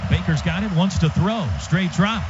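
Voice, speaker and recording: A man's voice: high-pitched, moderate pace. An American man calling live football play-by-play on the radio in clipped, punchy phrases. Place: stadium radio booth. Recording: dull, noisy live radio broadcast.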